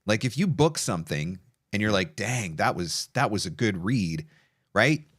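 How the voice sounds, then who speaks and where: A middle-aged man hosting a casual online interview and pitch, talking in an emphatic, enthusiastic, conversational way. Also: animated, moderate pace, a bit bright, no echo, clean studio sound. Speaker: webinar host.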